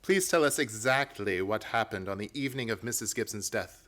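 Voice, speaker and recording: A man playing a confident, analytical gentleman detective in a radio play, reasoning aloud in an emphatic, theatrical way. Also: lowish voice, a little fast, lightly acted. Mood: decisive.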